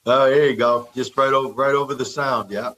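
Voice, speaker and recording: A man's voice: medium pitch, steady tone, a little fast, fairly bright tone. Middle-aged New York man, plain-spoken. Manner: practical, repeats words as he thinks. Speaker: guest. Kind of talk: remote interview. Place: repair shop.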